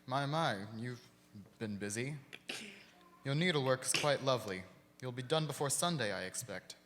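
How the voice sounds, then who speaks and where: A gentle, grieving family man, acted by a man with a medium-pitched voice. He speaks tenderly and with measure, as in a live radio play, and the sound rings with hall reverb. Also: animated, moderate pace, a little hiss or hum, lightly acted. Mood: tender, subdued. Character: gentle, grieving working-class father and husband. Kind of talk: live radio theater acting.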